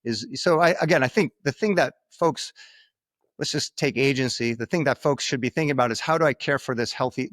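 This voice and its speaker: Mid-pitched American man, halting and explanatory. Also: fairly bright tone, no echo, studio quality. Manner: halting, repeats words for emphasis, checks with "right?". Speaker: podcast guest, finance expert. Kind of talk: conversational interview talk.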